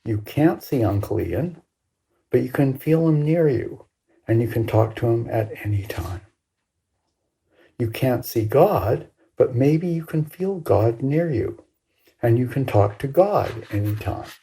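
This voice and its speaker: An older woman over sixty reads a personal story expressively, with deliberate, dramatic phrasing and pauses. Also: rumbling low, expressive, warm tone, slightly rough. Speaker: writer reading own work.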